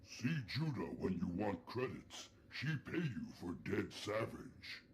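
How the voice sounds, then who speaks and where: A huge alien slug crime boss in a video game. The voice is very deep and drawn out, pompous and commanding, over faint noise. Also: male voice, big pitch swings, slow, full character voice. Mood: imperious. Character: big male Hutt alien crime boss, imperious. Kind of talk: video game creature voice acting.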